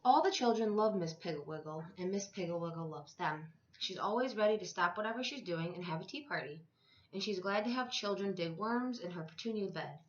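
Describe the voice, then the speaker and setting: A woman reading a story aloud to young children like a teacher, with expressive, deliberate phrasing. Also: fairly low pitch. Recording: echoey room, slightly dull sound.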